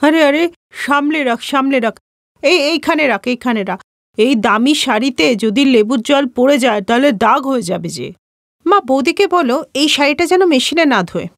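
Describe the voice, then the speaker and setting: A woman's voice: high-pitched, lively intonation, brisk, dry recording, studio quality. Woman voicing a haughty young daughter-in-law. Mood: fussy. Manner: snappy, demanding, dismissive.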